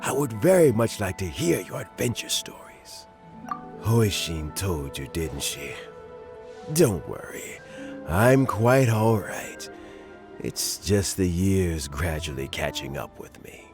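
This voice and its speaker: A man playing a gentle, courteous older statesman in a video game, speaking in a measured, formal way. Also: deep voice, very animated, music in the background, full character voice. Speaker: game character.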